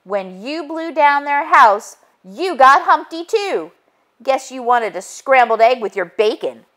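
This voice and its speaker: A woman's voice: sits high, big pitch swings, measured pace, fairly bright tone, voice pushed up, full character voice. A woman voicing a male egg detective from a storybook, earnest and wisecracking, with a questioning, matter-of-fact manner. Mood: accusing.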